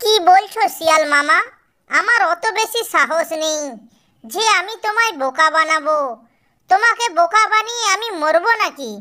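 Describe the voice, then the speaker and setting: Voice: very high voice, animated, moderate pace, pushed and bright, pitched above the performer's own voice, heavily acted. A performer voicing a small, clever cartoon tortoise who coaxes and teases while feigning meekness. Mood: coaxing, meek. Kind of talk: children's cartoon character voice.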